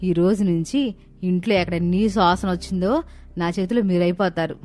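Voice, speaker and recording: A woman's voice: lowish voice, normal speed, a little mellow, faint music behind, close to an everyday voice. A woman voicing a stern, angry mother-in-law who scolds and commands in a cartoon moral story. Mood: angry, scolding.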